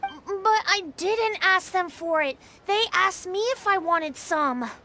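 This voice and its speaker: A woman's voice: very high-pitched, slow, sharp tone, slight background noise, exaggerated voice. A woman voicing an innocent, eager little boy in a video game, with childlike casual speech. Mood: defensive. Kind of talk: video game character dialogue.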